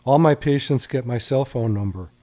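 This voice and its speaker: A man's voice: medium pitch, normal speed, dark tone. A man hosting a radio call-in show as a doctor, chatty and reassuring with callers.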